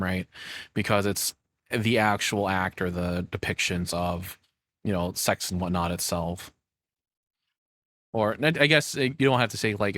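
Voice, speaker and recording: A man's voice: sits low, a bit bright, dry recording. A man giving casual reaction commentary as a streamer, rambling along in informal, slangy speech.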